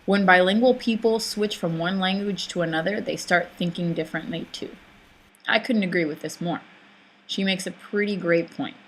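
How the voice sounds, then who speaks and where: A woman's voice: lowish voice, a bit bright, poor audio. A young woman whose first language is not English, narrating a reflective personal essay, thoughtful, with hesitant fillers and restarts.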